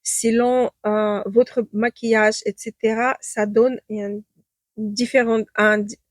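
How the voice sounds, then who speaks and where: A woman's voice: fairly high pitch, little pitch movement, slow, dry recording. A woman who is not a native speaker, reviewing makeup in a casual vlog, hesitating often with fillers and restarts and praising products with eager superlatives. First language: not French. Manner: enthusiastic superlatives. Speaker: beauty vlogger. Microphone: own microphone, at times off.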